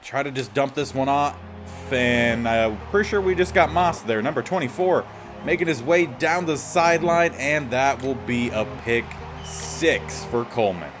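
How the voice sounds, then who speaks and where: A man with a slightly raspy voice giving casual gameplay commentary as the host of a gaming series. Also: medium pitch, lively intonation, moderate pace, over music.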